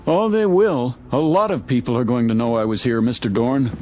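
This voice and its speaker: A man's voice: medium pitch, normal speed, dark tone, slight background noise, close to an everyday voice. A man playing a suspicious, irritable newspaper reporter stranded in a small town, curt and guarded, in an audio drama. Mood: irritated, defiant.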